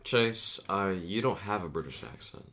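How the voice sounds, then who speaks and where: A man's voice: low voice, animated, moderate pace, gravelly, sharp tone. A man co-hosting a casual discussion, asking questions and objecting in a hesitant, self-correcting way. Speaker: show co-host.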